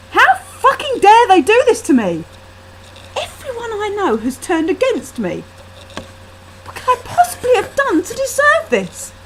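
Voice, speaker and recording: A woman narrates gothic fiction in a highish, swooping, expressive voice. It is an amateur reading on a laptop microphone, with faint noise behind her. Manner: expressive, acting out the dialogue. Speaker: author reading her own short story. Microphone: built-in laptop webcam microphone.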